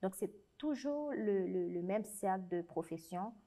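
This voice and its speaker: A woman's voice: medium pitch, animated, measured pace, dark tone. A young adult woman with a Haitian French accent, a guest speaking earnestly and reflectively in a conversational interview. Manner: earnest, reflective, with frequent restarts. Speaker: guest, writer and special educator.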